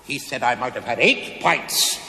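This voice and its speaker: An actor playing a drunken, irreverent British stonemason in a television play, declaiming grandly and rapturously. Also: male voice, medium pitch, animated, measured pace, bright, forceful tone, slight background noise. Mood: drunken rapture. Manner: declamatory, wildly swooping, grandiloquent. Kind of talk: theatrical television play acting. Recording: echoey hall, long reverb.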